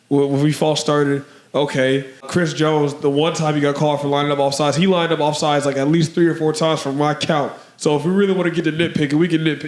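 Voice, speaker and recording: A man co-hosting a podcast, slightly hoarse from allergies, rambling through casual sports talk with lots of "man" and "bro". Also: a little high, reverberant. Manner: rambling, filler-heavy, says "man" and "bro". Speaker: podcast co-host.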